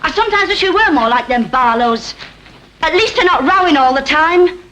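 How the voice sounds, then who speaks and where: An actress playing a sharp-tongued, exasperated middle-aged mother with a Northern English accent in a 1960s TV drama. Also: sits high, animated, normal speed, lo-fi sound. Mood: exasperated, nagging. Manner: scolding, accusing. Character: sharp-tongued, exasperated working-class mother nagging her grown son. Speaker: actress in a TV soap. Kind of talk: 1960s British TV drama acting. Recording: faintly noisy old 1960 TV soundtrack.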